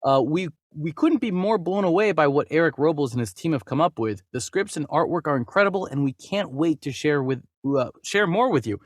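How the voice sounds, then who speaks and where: Male podcast co-host, lively swinging intonation, casual and conversational, close dry sound. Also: a little high, brisk, hardly any pauses, no room sound. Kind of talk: casual movie news discussion, reading news aloud.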